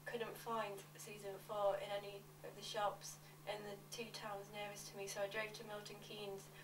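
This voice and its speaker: Roomy echo, distant woman, flat intonation, awkward deadpan script reading. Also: mid-range voice, normal speed. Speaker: reader of fans' confessions. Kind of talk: reading a scripted monologue of fan confessions. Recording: echoey room, distant mic, faint noise.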